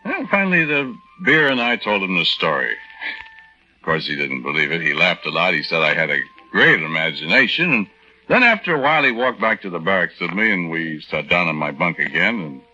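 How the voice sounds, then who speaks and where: A man's voice: sits low, big pitch swings, brisk, bright, forceful tone, faint music behind, full character voice. An actor playing a henpecked young American army private, telling his story in a hesitant, folksy, rambling way in 1940s radio style. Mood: confiding. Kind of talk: 1940s radio acting, conversational first-person narration. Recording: dull lo-fi 1940s radio recording.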